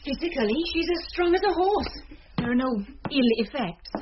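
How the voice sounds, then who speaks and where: Brisk, high-voiced doctor. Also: female voice, lively intonation. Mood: brisk, reluctant. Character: brisk, no-nonsense female family doctor. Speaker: radio drama actor. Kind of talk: British radio drama acting. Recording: dull, noisy old radio recording.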